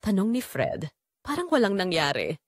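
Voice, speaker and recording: A woman's voice: mid-range voice, huge pitch swings, normal speed, no room sound, clean studio sound. A woman with a Filipino accent reading a scripted first-person dramatic story as narrator.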